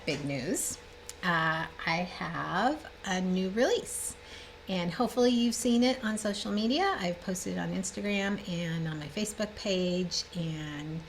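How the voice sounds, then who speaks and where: A woman's voice: lowish voice, a bit bright, slight background noise. Chatty stuffed-up woman stitcher. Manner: chatty, rambling, cheerful. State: a little stuffed up. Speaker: cross-stitch designer and vlogger. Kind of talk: casual show-and-tell chat. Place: home room with bookshelves, indoors.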